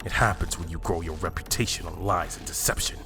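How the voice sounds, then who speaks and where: A man's voice: sits low, steady tone, fairly quick, pushed and bright, faint music behind. A man playing an arrogant, mocking villain who destroys timelines, in a theatrical anime fan drama style. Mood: mocking, suspicious. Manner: taunting, theatrical.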